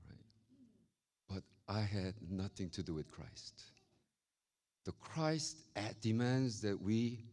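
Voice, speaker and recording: Animated Korean-accented man preaching. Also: deep voice. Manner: animated, acts out quoted voices. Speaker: pastor. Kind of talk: storytelling sermon.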